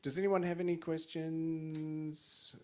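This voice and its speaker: A man, moderating a writers' panel in a relaxed, friendly way. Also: fairly high pitch, slow.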